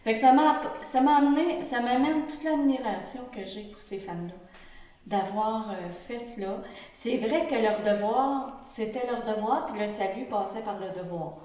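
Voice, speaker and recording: An earnest Québécois woman in her sixties gives her testimony, pausing and restarting as she searches for words. She sits some distance from the microphone. The room around her is very echoey. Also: fairly high pitch, darkish tone. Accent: Québécois French. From Quebec. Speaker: interview participant. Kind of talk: reflective group interview testimony. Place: indoor room with a pump running.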